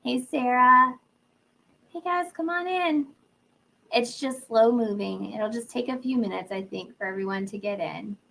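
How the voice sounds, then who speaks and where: A woman's voice: a little high, measured pace, sharp tone, low-quality recording. A woman hosting a live teaching webinar, greeting attendees by name in an upbeat, casual way while she is losing her voice from a sore throat and cold. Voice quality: gravelly, husky. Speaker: webinar host, literacy educator. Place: indoor room.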